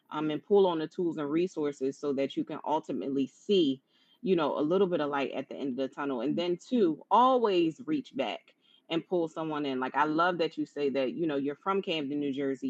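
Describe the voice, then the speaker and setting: A woman with a low-pitched voice hosting a conversational interview in an encouraging, affirming manner. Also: no room sound. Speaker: interview host. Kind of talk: conversational live-streamed interview. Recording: dull lo-fi online stream audio.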